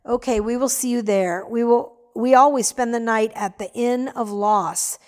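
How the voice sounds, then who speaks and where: A woman's voice: fairly high pitch, normal speed, close to an everyday voice. A woman voicing a helpful tall man in a storybook, giving plain directions. He speaks in an easy, friendly way.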